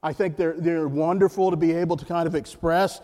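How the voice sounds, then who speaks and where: A man with a loud voice preaching a guest sermon in church, emphatic and repeating key phrases while calling on the congregation to answer. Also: a little high, fairly even intonation, fairly quick. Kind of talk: sermon with jokes and call-and-response. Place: church sanctuary. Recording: long church hall reverb.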